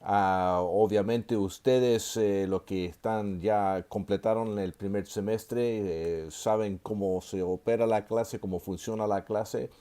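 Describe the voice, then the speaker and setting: Mellow-voiced older man lecturing, halting. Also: on the low side, steady tone, clear, resonant tone. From Chile. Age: 60s. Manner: unhurried, full of filler sounds and restarts; gently joking aside. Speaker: seminary professor. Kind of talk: online course lecture.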